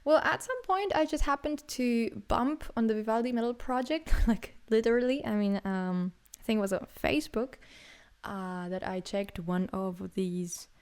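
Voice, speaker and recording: A young adult woman from Greece, a singer and songwriter, answering interview questions in an unhurried way, with many fillers and restarts. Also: a little high, a little mellow.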